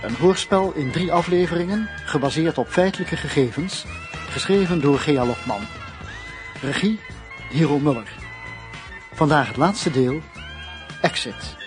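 A man's voice: a little high, expressive, measured pace, long pauses. Male radio drama announcer over music. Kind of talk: scripted announcement of a radio play. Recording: music under the voice. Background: clearly audible music.

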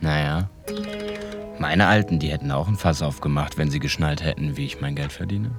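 An actor playing a disillusioned small-town car mechanic and ex-convict, talking in a halting, colloquial, ruminative way in a radio drama. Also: male voice, very deep voice, music in the background. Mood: wry, matter-of-fact. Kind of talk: first-person radio drama monologue.

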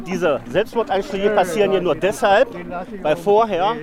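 A man giving a spontaneous political argument as an interviewed protester, halting and emphatic, repeating words as he goes. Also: high voice, crowd noise.

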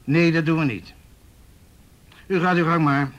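An actor playing a weary, wry, middle-aged police officer in a Dutch radio play, dry and hesitant in manner. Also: male voice, a little high, steady tone, unhurried, a bit bright, dull audio. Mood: irritated. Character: weary, wry older male police officer in youth affairs.

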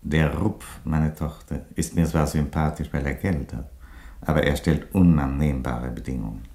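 Aging Roman emperor, extremely low voice, wry, measured, fatherly, radio drama. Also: vocal fry, dark tone. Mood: wry, earnest. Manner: measured, dry, sententious. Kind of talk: radio play acting.

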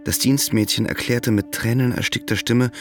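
An actor playing the sober third-person narrator of a radio drama, reading scripted storytelling. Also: male voice, mid-range voice, fairly even intonation, moderate pace, soft background music, natural voice. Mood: sober, narrating.

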